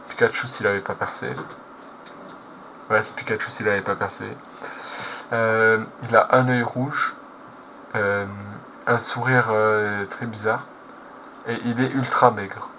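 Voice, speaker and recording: A man's voice: fairly low pitch, fairly bright tone. A man giving casual, slangy reaction commentary, hesitating and correcting himself as he goes. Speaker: reaction video host. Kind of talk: casual live reaction commentary, translating on the fly. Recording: clearly noisy background.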